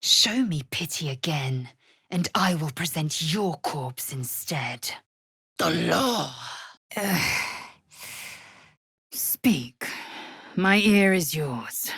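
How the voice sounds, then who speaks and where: A fierce young alien warrior woman from a fantasy video game, low and measured. She speaks in clipped, formal, commanding phrases with haughty authority. The voice has a husky rasp and sounds dry and close. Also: lively intonation, a bit bright, no room sound, full character voice. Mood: stern, commanding. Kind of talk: video game character voice lines.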